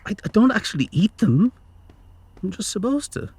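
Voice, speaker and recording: A man playing a quirky, insecure monster in a horror audio drama, with a hesitant, excitable manner. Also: high-pitched, moderate pace, breathy edge, heavily acted. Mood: sheepish. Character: quirky, sheepish, insecure male monster who loves cats.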